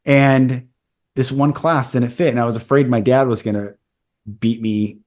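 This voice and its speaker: A man's voice: mid-range voice, steady tone, measured pace, darkish tone. Earnest man in his forties. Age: 40s. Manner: earnest, candid personal storytelling. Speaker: podcast host. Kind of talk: casual conversation.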